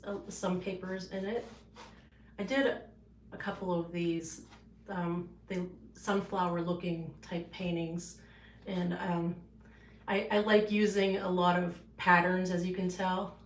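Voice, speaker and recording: A woman's voice: on the low side, flat delivery, slow, reverberant, slight background noise. A woman artist casually showing her paintings on a livestream, rambling with many fillers and restarts, in her home art studio.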